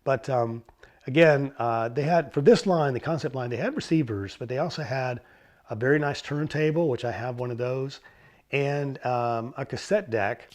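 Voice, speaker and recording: Hoarse man hosting a gear talk. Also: medium pitch, moderate pace. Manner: relaxed, rambling with fillers and self-corrections. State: hoarse from a cold. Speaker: host of a vintage stereo channel. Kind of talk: casual explainer talk.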